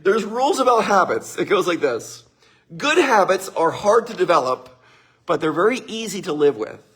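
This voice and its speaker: Upbeat middle-aged male motivational speaker, husky and fairly high for a man, live-stream feel. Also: sing-song, normal speed, fairly bright tone. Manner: upbeat, greets viewers by name, laughs often.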